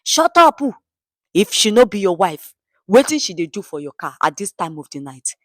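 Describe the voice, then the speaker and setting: A woman's voice: medium pitch, big pitch swings, normal speed, no room sound, studio quality. Woman acting a bullying Nigerian street thug. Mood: agitated bullying. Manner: barking, threatening Nigerian Pidgin slang. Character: bullying male street thug, menacing and agitated.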